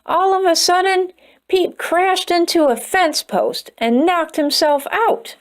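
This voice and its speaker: A woman reading a children's picture book aloud as storyteller, expressive and soothing. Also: extremely high pitch, slow.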